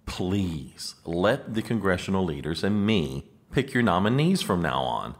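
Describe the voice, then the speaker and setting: Man acting low-pitched American chief aide, officious, formal, strained patience, expressive intonation, audio comedy. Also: measured pace, exaggerated voice. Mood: patiently explaining. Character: exasperated, officious male presidential chief aide.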